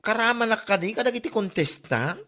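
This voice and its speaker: A woman's voice: medium pitch, lively intonation, a little fast, a bit bright, lightly acted. A woman with an Ilocano accent playing a curious woman who dreams of becoming a beauty queen. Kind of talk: comedy drama acting.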